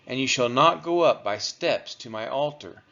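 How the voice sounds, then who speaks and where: A man reading scripture aloud as a narrator for a church read-along, in measured, deliberate phrasing. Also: mid-range voice, expressive, vocal fry, bright, forceful tone. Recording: dull, lo-fi recording.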